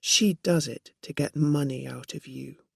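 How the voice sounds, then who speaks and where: Storybook woman, performed by a narrator: shrewd, assertive, measured delivery, dry recording. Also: medium pitch, gravelly, husky, no echo, full character voice. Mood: accusing, firm. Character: Dorothy Burns, assertive accusing woman, shrewd and controlled.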